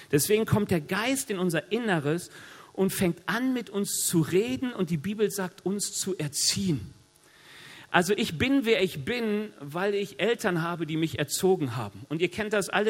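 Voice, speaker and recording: A middle-aged man with a cold, preaching a sermon in a lively, conversational way with joking asides. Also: high voice. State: has a cold. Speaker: pastor. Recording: long hall reverb.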